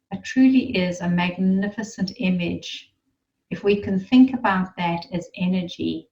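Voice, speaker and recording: A woman leading a guided meditation in a soothing, unhurried manner, with gentle instructions and pauses. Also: mid-range voice, recorded from a distance, highs cut off.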